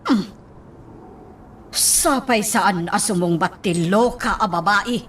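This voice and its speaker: A woman's voice: medium pitch, takes it slowly, a bit bright, recorded from a distance, slight background noise. An Ilocano-accented woman playing a jealous, bossy madam of the household in a radio drama, scolding and questioning sharply. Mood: suspicious, indignant.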